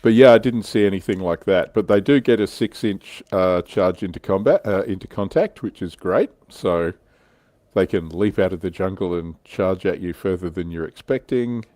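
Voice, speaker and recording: A man's voice: deep voice, moderate pace, warm tone, no echo. A man hosting a casual hobby panel chat. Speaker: livestream host presenting slides.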